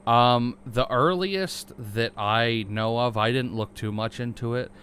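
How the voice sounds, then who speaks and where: A man's voice: mid-range voice, unhurried, fairly bright tone, a little hiss or hum. A young man co-hosting a podcast, rambling and joking in casual chat, stumbling for words. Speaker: podcast co-host.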